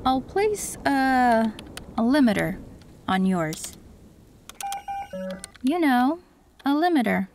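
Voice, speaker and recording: A woman voicing a female cyberpunk tech who works on an android, calm and matter-of-fact, in an audio roleplay. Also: sits high, slow, pauses often, a little hiss or hum, exaggerated voice.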